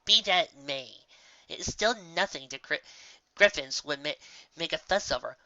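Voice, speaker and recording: A woman's voice: low-pitched, lively intonation, moderate pace, sharp tone, dry recording, lo-fi sound, full character voice. A woman playing an officious female unicorn official, haughty and weary, in a story reading. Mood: weary, dismissive.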